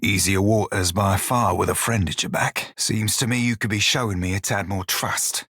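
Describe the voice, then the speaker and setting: Swaggering fantasy pirate for a game: husky, lowish, salty old-time sea talk, wry and loyal. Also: male voice, fairly bright tone, no room sound, clean studio sound. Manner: archaic nautical pirate dialect, swaggering. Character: salty blue-skinned pirate shiphunter, gruff, wry, loyal. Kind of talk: video game character voice lines.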